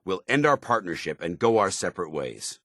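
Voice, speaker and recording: Video game military paladin: very low, animated delivery, honorable, stern, authoritative, clipped military diction. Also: male voice, a little fast, no room sound, studio quality, exaggerated voice. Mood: exasperated, scolding. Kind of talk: video game companion dialogue.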